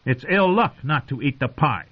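A man doing a shrill spoiled boy king. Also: expressive, moderate pace, breathy edge, muffled recording. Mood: taunting. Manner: petulant, shrill and commanding. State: choking and coughing. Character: cruel whiny teen boy king.

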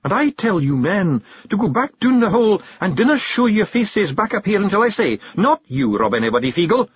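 A man's voice: high-pitched, big pitch swings, a little fast, breathy edge, dry recording, dull audio, pitched above the performer's own voice, exaggerated voice. A man voicing a tiny fairy clan wife with a Scottish accent, bossy yet tender, in a storybook reading. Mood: hard, commanding. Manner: sharp and bossy, pleading when worried.

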